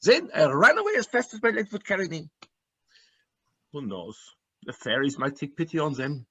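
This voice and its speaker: A man's voice: fairly high pitch, sing-song, moderate pace, sharp tone, breathy edge, no echo, full character voice. A man acting a bleak, callous woodcutter father from a fairy tale, in a dramatic, confessional stage-play style. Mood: grim, confessional.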